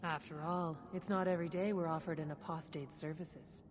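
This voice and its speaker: An actress playing a shrewd, composed smuggler boss in a video game, speaking in a measured, wry, businesslike way. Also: female voice, low voice, fairly even intonation, background noise, close to an everyday voice. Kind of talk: video game dialogue acting. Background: music.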